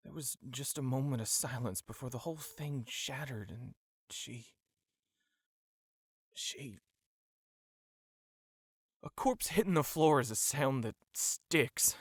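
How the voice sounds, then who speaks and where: A man playing a caring, troubled pony worker in an audio drama, halting and heavy-hearted. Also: mid-range voice, dry recording, clean studio sound. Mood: shaken, grieving.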